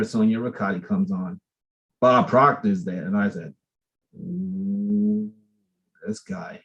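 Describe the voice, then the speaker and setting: A man's voice: fairly low pitch, a little mellow, muffled recording. A man hosting an interview show, effusive and chatty as he welcomes his guest. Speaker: host.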